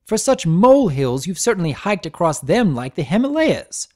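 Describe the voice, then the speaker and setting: A man's voice: high-pitched, sing-song, normal speed, a little mellow, voice pushed up. A man voicing a haughty, scornful pegasus mare in a story reading. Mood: indignant. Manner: huffy, scornful.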